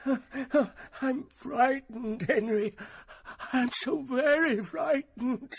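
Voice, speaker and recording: A man's voice: very high voice, slow pace, vocal fry, husky, exaggerated voice. An actor playing a frail, frightened old man in a radio drama, stammering and pleading. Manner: stammering, pleading, panicky outbursts. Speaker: elderly uncle.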